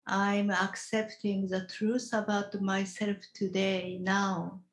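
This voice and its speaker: A woman leading a guided meditation as a teacher, instructing gently in short, halting phrases with long pauses. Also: medium pitch, flat delivery.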